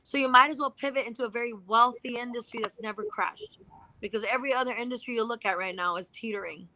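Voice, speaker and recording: A woman's voice: a little high, fast talker, bright, forceful tone, dry recording, poor audio. A woman speaking emphatically in a motivational conversation as an interview guest, repeating phrases to drive points home. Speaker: financial services entrepreneur, interview guest.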